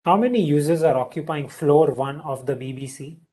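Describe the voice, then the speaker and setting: A man's voice: on the high side, moderate pace, warm tone. A young man giving spoken commands to a voice assistant over a video call. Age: young adult. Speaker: product demonstrator. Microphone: video call from a laptop.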